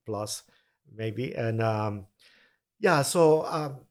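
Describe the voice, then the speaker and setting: A man's voice: lowish voice, animated, very slow. A man from Honduras, explaining his ideas earnestly in a podcast interview. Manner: earnest, explanatory, occasional restarts. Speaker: mission agency president.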